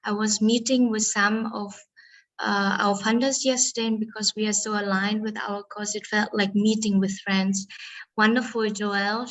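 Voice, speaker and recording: A woman's voice: mid-range voice, level tone, normal speed, rough recording. A woman from Vietnam presenting an online workshop as facilitator over a video call, hesitant with many ums and uhs.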